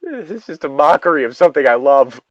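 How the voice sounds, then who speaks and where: Animated, sweary man reading and ranting. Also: a little high, fast, no echo, highs cut off. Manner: sweary, animated, exasperated asides. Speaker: host reading an internet story aloud. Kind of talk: casual story reading with ranting commentary.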